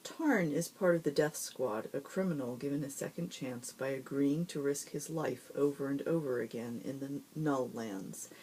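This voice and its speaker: Someone hosting story time, reading a book out loud expressively, mid pitch, bit of noise behind. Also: moderate pace, hardly any pauses, low-quality recording. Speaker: host reading book excerpts aloud. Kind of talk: storytime reading and informal introduction of fiction.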